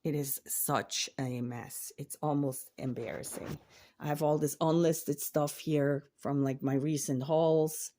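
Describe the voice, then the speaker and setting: A woman's voice: sits low, steady tone, a little slow, mellow. A woman in her forties from Switzerland, chatting casually to camera in her home office, rambling and repeating words as she trails off. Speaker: online reseller and vlogger.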